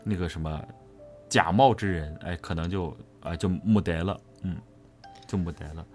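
A man chatting casually and playfully as a livestream co-host, animated and teasing, quick to laugh. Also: sits low. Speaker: voice actor co-hosting a fan livestream. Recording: background music.

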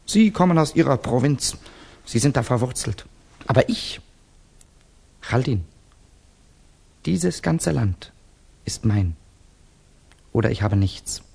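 Radio drama casting: young Russian student, mid-range voice, brooding loner, bitter and proud, rhetorical intensity. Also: male voice, mid-range voice, slow, gravelly, pauses often, darkish tone, breathy edge, heavily acted. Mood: impassioned, brooding. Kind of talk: 1960s German radio drama acting.

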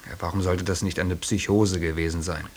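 A man's voice: low-pitched, steady tone, a little fast. An actor in a radio drama playing a rational, sceptical man with a dry, pointed way of questioning. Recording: faint tape hiss.

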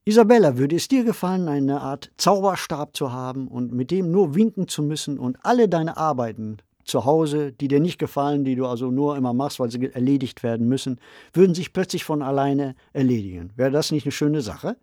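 A man co-hosting a discussion, deliberately setting out ideas and questions in a semi-prepared way. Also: medium pitch, lively intonation, warm tone, dry recording, clean studio sound. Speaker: podcast co-host posing questions.

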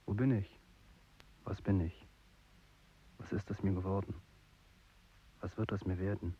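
Slow, low-voiced bewildered soldier. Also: male voice, little pitch movement, warm tone, husky. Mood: dreamy, lost. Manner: halting single words and short questions. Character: frightened, wounded young male soldier, bewildered and longing for family. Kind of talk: sparse, fragmented radio drama monologue. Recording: dull, noisy 1980s radio production.

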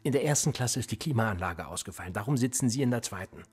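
An actor playing a sardonic, probing police interrogator in a naturalistic radio drama, speaking in a deliberate, controlled way. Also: male voice, mid-range voice, expressive, breathy edge, faint music behind. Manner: deliberate, probing, dryly ironic.

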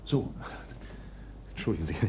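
A man's voice: moderate pace, very noisy, lightly acted. An actor playing a flustered accused man in a radio drama, protesting formally and hesitantly with stammered self-corrections. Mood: nervous, deferential. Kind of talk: German radio drama acting.

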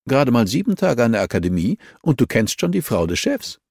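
A man's voice: medium pitch, very animated, fairly quick, vocal fry, darkish tone, no room sound, studio quality, pitched above the performer's own voice. A man voicing a curious, teasing young female colleague in an audiobook, informal and direct. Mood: teasing, caring. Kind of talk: audiobook character dialogue.

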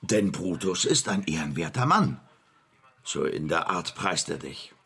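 Very deep-voiced, cynical, condescending businessman. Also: expressive, vocal fry, bright, forceful tone, husky, exaggerated voice. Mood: probing. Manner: measured, insinuating, condescending. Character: powerful, cynical, manipulative businessman Max, cool and condescending. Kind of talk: German radio drama acting.

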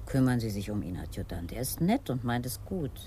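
An actor as a dark-toned, animated, concerned partner. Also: medium pitch, brisk, slight background noise, close to an everyday voice. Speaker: actor in a radio crime drama. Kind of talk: 1980s German radio play acting.

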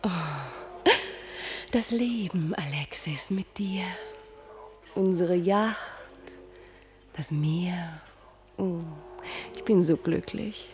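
A woman's voice: lowish voice, very slow, pauses often, a little mellow. An actress playing a spoiled, dreamy yet anxious female star in a German radio play, with an affected, gushing manner. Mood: dreamy, wistful. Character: spoiled, dreamy, anxious woman star, former dishwasher girl.

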